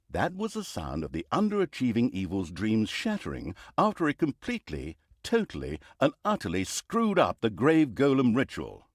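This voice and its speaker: An actor playing a pompous, mocking narrator of an evil fantasy video game, with theatrical storybook delivery and dry sarcasm. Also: male voice, on the low side, no echo, heavily acted. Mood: scornful sarcasm. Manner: arch, dryly sarcastic, dramatic emphasis.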